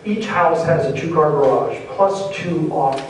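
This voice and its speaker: A man's voice: on the high side, animated, measured pace. A man presenting a housing development at a public meeting, explaining patiently and often hedging with "kind of". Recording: echoey hall from far off, faint noise.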